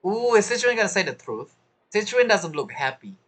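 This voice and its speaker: A woman's voice: mid-range voice, big pitch swings, a little fast, slightly rough. A woman streamer giving casual playthrough commentary, playfully teasing and talking back to the game's characters. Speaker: gaming streamer. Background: soft game music.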